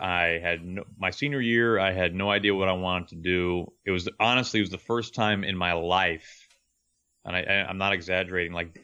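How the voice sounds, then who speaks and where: A young man with a deep voice, an earnest, reflective baseball broadcaster telling his story as an interview guest. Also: sharp tone, clear, resonant tone.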